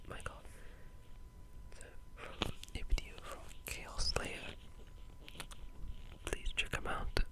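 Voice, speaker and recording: A video creator speaking in a breathy, unvoiced whisper, giving casual, rambling and enthusiastic ASMR commentary on gaming. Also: rough recording.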